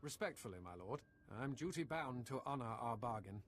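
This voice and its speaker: A man playing a calm, formal Imperial agent. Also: mid-range voice, close to an everyday voice. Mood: composed. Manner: measured, formal, polite.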